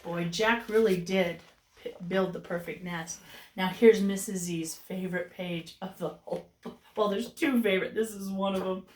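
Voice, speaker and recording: A woman kindergarten teacher reading a picture book aloud expressively and chatting to young children. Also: mid-range voice. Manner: animated, explains words to children, drawn-out delivery when reading. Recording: roomy echo, distant mic.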